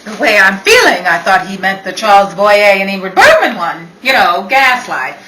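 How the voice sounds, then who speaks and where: A woman acting a brisk, pushy, easily flustered businesswoman realtor in 1940s radio drama style, theatrical and exclamatory. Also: mid-range voice, sing-song, lightly acted. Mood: flustered, indignant. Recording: echoey room, distant mic, faint noise.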